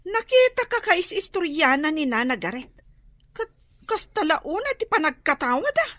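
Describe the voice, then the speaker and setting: A woman with an Ilocano Filipino accent playing a quarrelsome, easily aggrieved village gossip in a comedy radio drama, complaining in an emotional, drawn-out way. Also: sits high, very animated, a bit bright, dry recording, studio quality.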